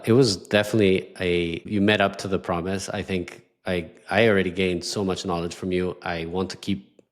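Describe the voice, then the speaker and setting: A man raised in Ecuador hosting a podcast interview, talking in a halting way and often repeating words. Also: sits low, steady tone. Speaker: podcast host. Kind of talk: casual interview talk.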